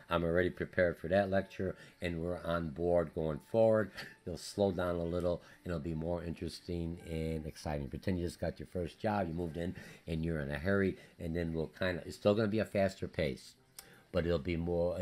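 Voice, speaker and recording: Fast-talking Polish-accented male instructor, very low voice. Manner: hurried, energetic, repetitive with many fillers. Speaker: college business instructor. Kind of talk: recorded course update lecture.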